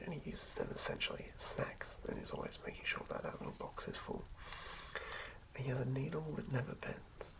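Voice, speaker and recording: A person whispering softly in an ASMR style, narrating a tabletop character build in a breathy, creaky hush. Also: medium pitch, low-quality recording. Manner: hushed whispering. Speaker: ASMR creator.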